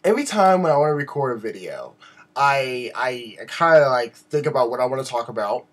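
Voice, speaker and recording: A man giving a casual, rambling vlog update in an animated, self-deprecating way, his voice slightly raspy from a sore throat. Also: medium pitch, a little fast. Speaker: video blogger.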